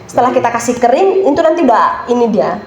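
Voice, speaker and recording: A young woman from Nias, a podcast guest chatting casually, often pausing and searching for words. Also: fairly high pitch, sing-song. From Nias, Indonesia. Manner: hesitant, searching for words with many fillers. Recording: roomy studio with faint noise.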